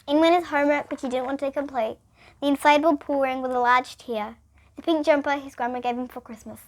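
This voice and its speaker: A female reader, reading a children's picture book aloud in an expressive, emphatic way. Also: high-pitched, quick pace.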